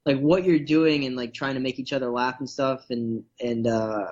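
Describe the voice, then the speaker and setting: A man's voice: medium pitch, steady tone, moderate pace, darkish tone, highs cut off. A man from North America, a guest comedian, chatting casually and rambling with many fillers. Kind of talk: casual podcast chat.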